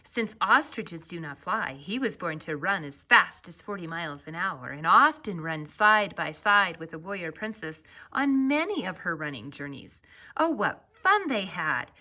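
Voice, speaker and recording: Bright, expressive woman reading a story. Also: mid-range voice. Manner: expressive, deliberate, drawn-out phrase endings. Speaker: author reading own children's book. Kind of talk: scripted children's story narration.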